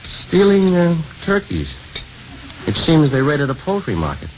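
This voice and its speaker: Casual wry priest, gently probing, 1950s radio play, muffled recording, faint noise. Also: male voice, a little high, wildly swooping pitch, a little slow.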